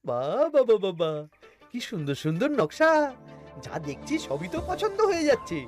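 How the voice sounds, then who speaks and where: A woman's voice: medium pitch, big pitch swings, normal speed, over music, natural voice. A woman voicing a pompous, greedy, bossy male royal minister in an exaggerated cartoon style. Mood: delighted, grand. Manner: bossy, repeats words.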